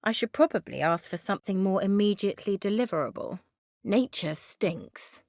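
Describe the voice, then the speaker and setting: A woman's voice: mid-range voice, lively intonation, normal speed, no echo, studio quality, close to an everyday voice. A woman playing a haughty, sly sorceress in a fantasy video game, articulate, wry and teasing.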